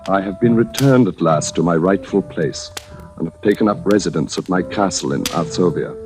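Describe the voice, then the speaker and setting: A man's voice: low voice, moderate pace, close to an everyday voice. An actor playing a deluded asylum patient who believes he is royalty, speaking in a pompous, formal, theatrical way in a vintage radio drama. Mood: grandiose. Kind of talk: 1940s radio drama acting. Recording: vintage radio recording, faint music.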